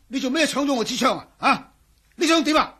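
An actor playing a testy, evasive male professor in a radio drama, raspy and blustering, speaking Cantonese with a Hong Kong accent. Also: very high-pitched, a little fast, pushed and bright, full character voice. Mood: alarmed, indignant. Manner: blustering, stammering.

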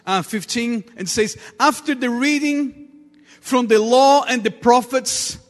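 A man with a Portuguese accent, a native Portuguese speaker, preaching a sermon earnestly in halting English with repeated words. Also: very high voice. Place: large reverberant church room. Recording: reverberant hall with long echo.

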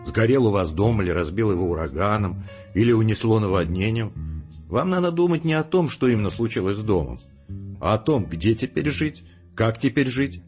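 An actor as animated, lecturing male intellectual. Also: on the low side, brisk, muffled recording, soft background music, close to an everyday voice. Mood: firm, sober. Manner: formal, lecturing, emphatic. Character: self-assured, coolly logical intellectual man. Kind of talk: scripted audio drama dialogue.

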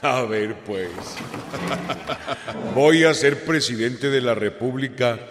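An actor playing an unhurried, amused revolutionary general in a radio drama. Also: male voice, medium pitch, natural voice. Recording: echoey, noisy hall.

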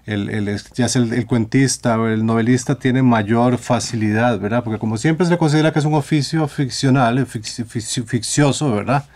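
Radio guest, male, Costa Rican accent, medium pitch, reflective and halting, circling back over his phrases. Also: a little mellow. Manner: halting, searching for words, repeating phrases. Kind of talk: reflective discussion in a radio interview.

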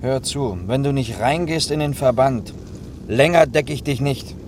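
A man's voice: mid-range voice, a little slow, a little mellow, close to an everyday voice. An actor playing a cautious, dutiful male chauffeur in a German radio drama, talking in a matter-of-fact, colloquial, admonishing way. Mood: earnest, admonishing. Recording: clear vehicle noise behind.

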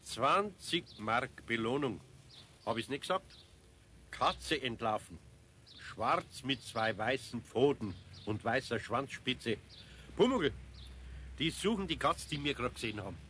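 An actor playing a good-natured older master carpenter with a Bavarian accent, homely and gently teasing, in a children's radio play. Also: male voice, medium pitch, expressive, measured pace, a little hiss or hum. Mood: explaining.

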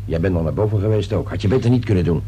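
A man's voice: deep voice, expressive, racing, mellow. An actor playing an uneasy, wary middle-aged husband and father in a radio drama. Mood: wary, irritated. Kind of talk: Dutch radio drama acting. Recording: noisy old radio recording with hum.

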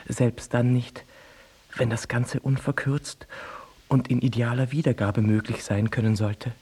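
A soft, dark-toned and breathy gentleman speaks in an eighteenth-century radio play. He is learned and deferential, feeling his way through long, polite sentences with hesitation. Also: mid-range voice. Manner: halting, circuitous, bookishly polite. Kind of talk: audio drama acting.

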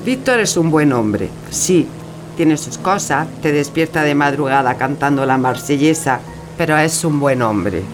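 A woman's voice: low voice, expressive, measured pace. A woman playing a resolute, composed lover saying farewell in a radio play. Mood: reassuring. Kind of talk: radio theatre acting. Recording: music and engine noise behind.

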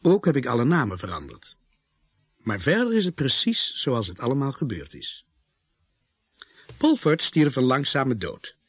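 An actor narrating a radio drama in the first person as a reflective man recalling grim events, measured and brooding. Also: mid-range voice, sing-song, breathy edge, no echo, clean studio sound. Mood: grave, reflective.

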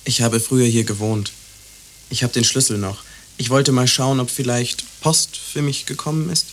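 A man's voice: mid-range voice, fairly even intonation, normal speed, close to an everyday voice. An actor in a German radio play playing a moody young man who is brooding, volatile and insistent. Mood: polite. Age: young adult. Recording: faint background noise.

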